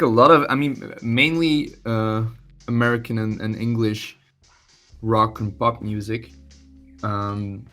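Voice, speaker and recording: A man's voice: mid-range voice, pushed and bright. A young man from Belgium with a Belgian Dutch-speaking accent, a guest musician talking casually and hesitantly, with many fillers and restarts. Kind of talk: casual podcast interview.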